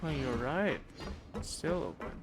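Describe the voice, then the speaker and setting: A man's voice: on the high side, sing-song, unhurried, over loud music. A man giving casual gameplay reactions in brief, offhand interjections. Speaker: game streamer reacting.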